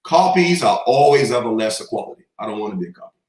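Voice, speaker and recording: A man's voice: medium pitch, expressive, quick pace, darkish tone, roomy sound. A man from the Bahamas, a guest telling stories with confident, preacher-like cadence. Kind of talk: storytelling in a conversation.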